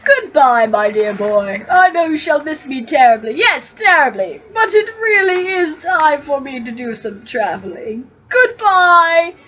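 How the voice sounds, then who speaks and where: A woman voicing a fond, self-satisfied old gentleman hobbit in a genteel, wistful manner for a storybook. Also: high voice, sing-song, unhurried, hardly any pauses, muffled recording.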